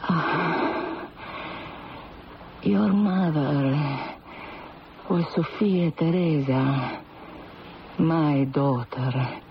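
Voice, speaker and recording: An actress playing an ancient, dying Italian grandmother who tells fortunes, speaking with an Italian accent in a halting, theatrical, mournful way in a radio drama. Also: low-pitched, heavily acted. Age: older. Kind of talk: 1970s radio drama acting. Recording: muffled, noisy old radio broadcast.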